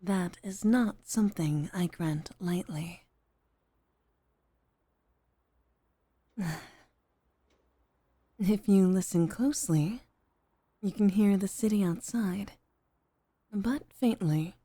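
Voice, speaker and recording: A woman playing a composed, formal head maid as a devoted girlfriend in an intimate ASMR roleplay, speaking softly and often near a whisper. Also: medium pitch, breathy edge, no echo. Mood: tender, composed. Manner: soft, measured, formal, often dropping toward a whisper.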